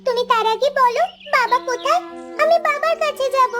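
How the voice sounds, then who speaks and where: A woman's voice: very high-pitched, fairly quick, music in the background. A woman voicing an insistent, pouty little girl who pleads in a cartoon. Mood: pleading, stubborn. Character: whiny, insistent little girl missing her father. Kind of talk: acted dialogue in a moral-story cartoon.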